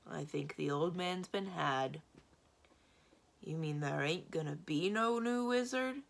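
A woman's voice: low-pitched, vocal fry, exaggerated voice. Woman as a glum, plodding storybook monster. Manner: ungrammatical, slow, mournful. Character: three-headed male monster, glum, plodding, uneducated, loyal.

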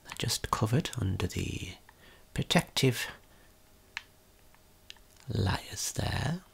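A tour guide giving a gentle, unhurried ASMR tour in a breathy whisper, explaining things as they go. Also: medium pitch, expressive.

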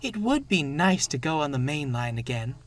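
A man's voice: on the high side, big pitch swings, normal speed, pitched above the performer's own voice. A man voicing a chatty, wistful female railway coach in a storybook, with a genteel, emphatic manner. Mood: dreamy.

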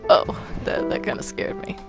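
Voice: moderate pace, loud music behind the voice. A video game player chatting casually during play, hesitant and stammering over repeated words. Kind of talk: casual gaming chat while playing Minecraft roleplay.

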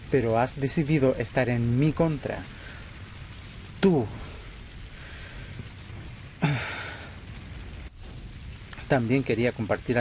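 A man's voice: mid-range voice, darkish tone. A threatening, embittered vampire acted by a man speaks with dramatic deliberation. It sounds like an audio drama, with faint noise behind the voice. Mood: wounded, stubborn. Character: cold, threatening male vampire, embittered former friend. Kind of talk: amateur Spanish audio roleplay drama.

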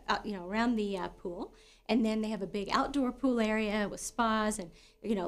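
A middle-aged American woman presenting a property tour as a real estate broker, in conversational, semi-scripted sales talk. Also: mid-range voice.

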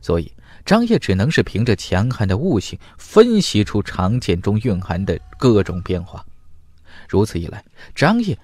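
Male fantasy audiobook narrator, dramatic and very varied in pitch, dry close sound. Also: big pitch swings, moderate pace, a little mellow, dry recording.

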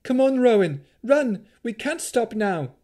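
A man's voice: extremely high pitch, big pitch swings, a little slow, mellow, pitched above the performer's own voice, heavily acted. A man voicing a protective sorceress mother in a fantasy story, urgent and commanding, in a raised, strained voice. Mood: frantic, shouting. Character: desperate protective sorceress mother urging her small daughter.

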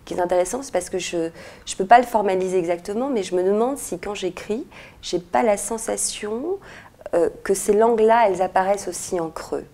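A woman in her forties, an author, answering interview questions thoughtfully with frequent pauses and restarts, recorded on a lapel mic in a quiet indoor room. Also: medium pitch. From France and Morocco. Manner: thoughtful, many hesitations and restarts. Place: indoor room with red walls, seated in armchair.

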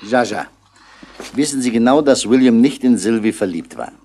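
An actor playing a composed police commissioner who questions tersely and courteously in a 1960s radio play. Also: male voice, medium pitch, expressive, normal speed, dark tone, slightly rough. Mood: probing.

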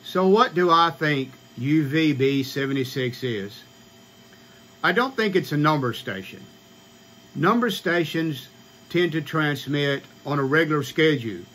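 A man in his sixties with an American accent, explaining informally to camera in a halting, matter-of-fact way. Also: on the high side, lively intonation, fairly bright tone, a little hiss or hum. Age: 60s. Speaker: ham radio vlogger. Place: small home radio room, indoors.

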